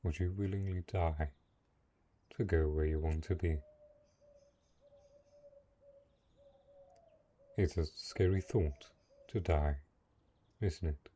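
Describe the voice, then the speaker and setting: A man playing a brooding, possessive and lonely dark knight in a fantasy roleplay audio drama, speaking in a measured, deliberate way. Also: low-pitched, fairly even intonation. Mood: quietly menacing. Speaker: voice actor.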